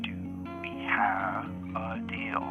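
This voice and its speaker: An actor playing a curt, stubborn radio host who drives a hard bargain, blunt and demanding, in a mystery audio drama. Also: male voice, deep voice, little pitch movement, slow, muffled recording, faint music behind. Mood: insistent.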